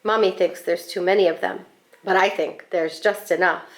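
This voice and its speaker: A woman reading a children's picture book aloud in an expressive, deliberate storytelling manner. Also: on the low side, rough recording. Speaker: school staff storybook reader.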